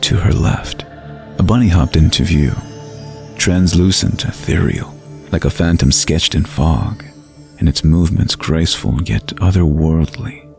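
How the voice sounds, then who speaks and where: A man narrating a scripted fantasy bedtime story in a measured, atmospheric, dramatic way. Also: extremely low pitch, vocal fry, darkish tone, soft background music.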